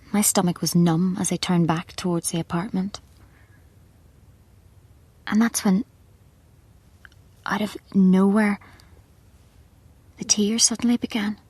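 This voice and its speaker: An actress with an Irish accent playing a distressed, blunt, sceptical woman in a radio play, her voice breathy and husky. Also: fairly low pitch, darkish tone, no room sound, full character voice. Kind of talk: radio play acting with first-person narration.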